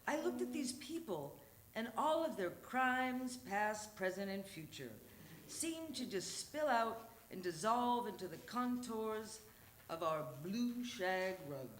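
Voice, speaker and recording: A woman giving an expressive, emphatic live reading of a memoir story on a stage, with a roomy sound and faint noise. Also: medium pitch, takes it slowly. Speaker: reader at a live storytelling show. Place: theatre stage at a live show.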